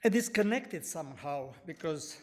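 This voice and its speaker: A man's voice: on the high side, lively intonation, normal speed. A man with a Romanian accent giving an earnest, self-deprecating public comment at a county meeting.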